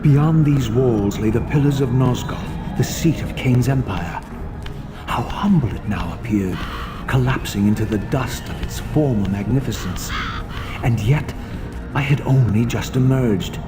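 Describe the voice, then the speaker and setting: Casting: male actor as proud, embittered vampire wraith narrating a gothic game, medium pitch, grave theatrical delivery over music. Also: unhurried, lightly acted. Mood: bitter awe. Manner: solemn, theatrical, formal. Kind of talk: scripted video game narration.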